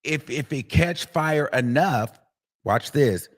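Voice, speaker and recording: A man's voice: medium pitch, slow pace. A man hosting a live stream, giving emphatic opinions in casual chat. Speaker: host.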